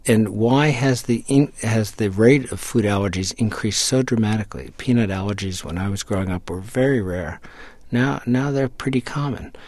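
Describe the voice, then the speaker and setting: Lower-voiced man explaining science carefully. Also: darkish tone, no echo. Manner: measured, explanatory, with frequent repeated words. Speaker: scientist guest. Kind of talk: explanatory interview answers. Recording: dry close-mic studio sound.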